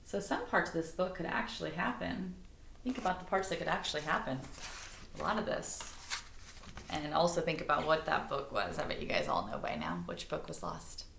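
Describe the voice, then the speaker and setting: Creaky-voiced woman reading a children's story. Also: sits low, animated, normal speed, fairly bright tone, slight background noise. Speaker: read-aloud storyteller. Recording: roomy, echoey indoor sound.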